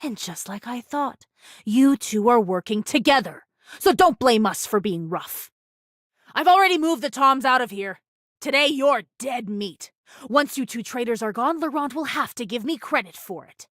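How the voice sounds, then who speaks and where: A woman's voice: on the high side, animated, normal speed, a bit bright, no echo, studio quality. A woman voicing a vengeful, taunting female pirate in an anime video game, with a menacing, theatrical way of talking. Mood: scornful, threatening. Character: vengeful, taunting female pirate first mate, one-eyed ex-convict.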